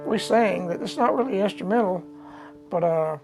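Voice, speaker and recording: A man, chatting casually and unhurriedly to his live audience as a musician host, with many pauses. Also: sits high, loud music behind the voice. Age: 70s. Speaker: musician hosting a live stream. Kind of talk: rambling casual chat.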